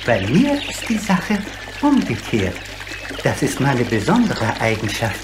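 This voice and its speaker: A kindly old giant for a radio play. He is courteous and earnest, explaining with theatrical, widely swinging intonation. Clear noise runs through the recording. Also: mid-range voice, unhurried. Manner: polite, explanatory, theatrically expressive. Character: gentle, lonely old male apparent giant, courteous and helpful.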